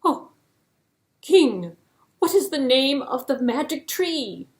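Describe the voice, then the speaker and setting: A woman's voice: high-pitched, very animated, takes it slowly, voice pushed up, exaggerated voice. Woman voicing an eager, bouncy, forgetful hare.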